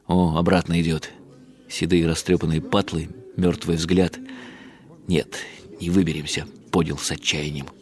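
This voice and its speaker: Deep-voiced man narrating a radio story. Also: little pitch movement, darkish tone. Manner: measured, wry and bitter. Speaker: first-person narrator.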